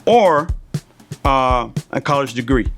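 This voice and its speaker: A man's voice: medium pitch, lively intonation, takes it slowly, over music. An older man, explaining things conversationally as an experienced interview guest, often checking in with a "right?". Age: 50s or older. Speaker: interview guest, veteran air traffic controller.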